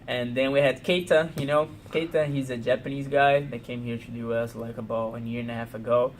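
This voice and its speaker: A man from Brazil with a Portuguese accent, speaking halting English full of "you know" in a casual interview. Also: mid-range voice, steady tone, a little hiss or hum. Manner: frequent "you know" fillers, halting non-native English. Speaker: pro fighter.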